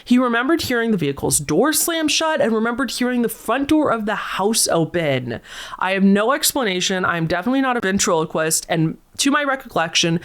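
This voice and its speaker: A woman hosting a storytelling channel, reading a viewer's scary story aloud in a soothing voice with lively asides. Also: mid-range voice.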